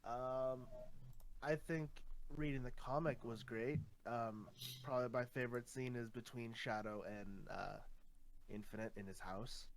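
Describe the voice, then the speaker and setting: A man's voice: medium pitch, steady tone, a little slow, a little hiss or hum, rough recording. A man with a mid-range voice speaks as a voice actor in a group chat. His delivery is informal and conversational. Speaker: fan dub voice actor. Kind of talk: casual chat.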